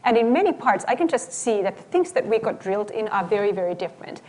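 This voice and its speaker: A woman from Finland with a Finnish accent, speaking as a panelist in a thoughtful, lively way. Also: mid-range voice. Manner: thoughtful, lively, quick to agree. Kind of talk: panel discussion. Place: event hall before an audience.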